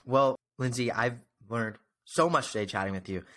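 A man in his twenties with a medium-pitched voice talks as a podcast host. He is conversational and earnest, with an encouraging way of putting things, speaking close to a desk mic in a room at home. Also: moderate pace, a bit bright. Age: 20s. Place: small room at home, indoors. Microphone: close desk microphone.

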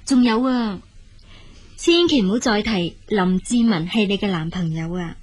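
An actress playing a practical, dutiful elder sister in a Cantonese radio drama, frank, brisk and reassuring. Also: female voice, medium pitch, animated, breathy edge. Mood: brisk, caring.

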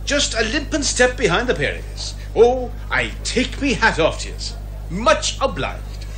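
A hearty Irish policeman in an eighties radio comedy drama. His voice is high and bright, folksy and effusive in manner. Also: huge pitch swings, measured pace, soft background music, exaggerated voice. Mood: hearty, grateful. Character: hearty, grateful, talkative Irish male police officer. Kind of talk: 1980s British radio comedy drama acting.

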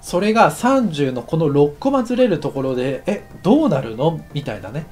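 A middle-aged man, an anime director, explaining a technical topic in a relaxed, chatty lecture full of fillers. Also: fairly high pitch, normal speed, soft background music. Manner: relaxed and chatty, many fillers, lively rising and falling delivery. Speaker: anime director teaching a course. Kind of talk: explanatory screen-share lecture.

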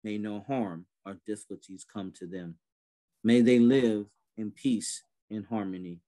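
Relaxed man hosting a video call. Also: lowish voice. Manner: easygoing, with many fillers and restarts. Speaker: event host.

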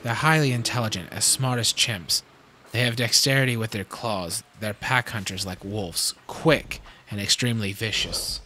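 A man voicing a serious, cautious geneticist who explains things in a measured way. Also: on the low side, pushed and bright. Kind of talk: fan audio drama acting.

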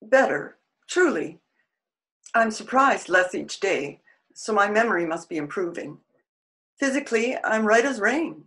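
An older actress playing a loving, torn married woman in a period radio drama, heartfelt and halting. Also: mid-range voice, animated, a bit bright. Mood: subdued. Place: room at home, video call.

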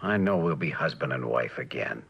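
A man's voice: a bass voice, lively intonation, moderate pace, gravelly, breathy edge, dull audio, full character voice. An actor playing an earnest, weary husband devoted to his work and evasive about it, in a mid-century television drama. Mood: apologetic, evasive. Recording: noisy old television drama soundtrack.

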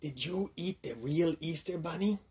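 A man voicing a friendly, childlike little monster puppet, excitable and easily flustered, exclaiming in repeated phrases. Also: fairly high pitch, moderate pace, slightly rough, pitched above the performer's own voice. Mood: dismayed. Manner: repetitive, wide-eyed exclaiming. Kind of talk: children's puppet show skit.

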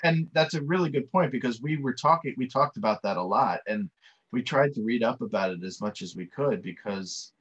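A man's voice: medium pitch, brisk, fairly bright tone, slightly rough, muffled recording. Male director guest, middle-aged, casual rambling delivery, webcam sound. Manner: rambling, many fillers. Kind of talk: casual interview answers. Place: home office. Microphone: webcam video call.

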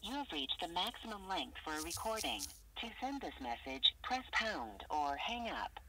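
A woman's voice: fairly low pitch, big pitch swings, normal speed, sharp tone. A woman's automated voicemail system voice reading scripted menu prompts in a formal, instructional way over the telephone. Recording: slightly noisy telephone voicemail recording.

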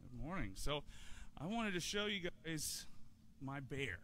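A man's voice: on the high side, lively intonation, unhurried, sharp tone. A man in his thirties giving a children's sermon in a church sanctuary, talking in a friendly way, asking questions and restarting often. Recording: church hall reverb, faint room noise.

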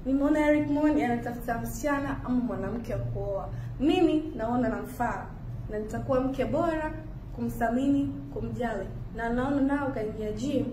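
A woman's voice: a little high, moderate pace. A young woman with a Tanzanian accent introducing herself to camera as a show contestant. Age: young adult. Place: by a wooden door. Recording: echoey, noisy amateur clip.

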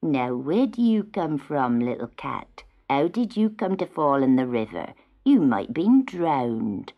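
A woman voicing a kindly, motherly farmer's wife in a children's storybook, speaking softly and gently. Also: very deep voice, big pitch swings, a little slow, highs cut off, voice pushed down, exaggerated voice. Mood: gentle concern. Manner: soft, gentle questioning.

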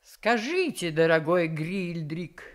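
A woman's voice: lowish voice, expressive, takes it slowly. An actress playing a gracious, imperious queen in a children's radio play, with a regal, theatrical manner. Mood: gracious, commanding. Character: gracious, imperious giant queen, easily delighted.

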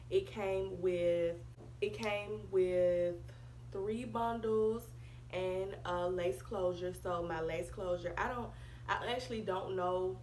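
A young woman talks casually to her camera, her voice stuffy and nasal from a cold. She sits low in her range and speaks in a chatty, gesturing way. Her bedroom echoes around her, with a faint hum underneath. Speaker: beauty vlogger. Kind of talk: casual hair review vlog. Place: bedroom at home, indoors.

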